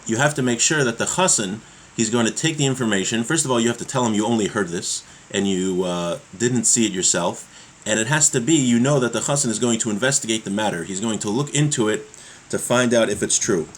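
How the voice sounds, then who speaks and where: Guy teaching religious law, kinda low voice, halting and explaining as he reads, noisy background. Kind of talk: religious law lesson, reading a text aloud and explaining it.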